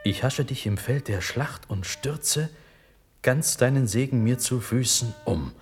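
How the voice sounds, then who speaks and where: An actor playing a dreamy, ardent young prince, declaiming solemn verse in a radio drama. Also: male voice, medium pitch, animated, a little slow, close to an everyday voice. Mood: exalted. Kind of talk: German verse monologue, radio drama acting. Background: music.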